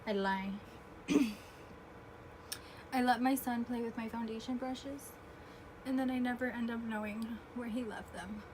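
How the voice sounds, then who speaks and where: A woman in her twenties talks through a makeup routine in an easy, chatty, self-deprecating way and often clears her throat. She speaks slowly in a slightly high voice. Her phone picks her up at home against a steady hiss of background noise. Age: 20s. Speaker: beauty vlogger. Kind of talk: casual makeup tutorial chat. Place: home room with air conditioner. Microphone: phone camera. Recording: noisy home phone recording.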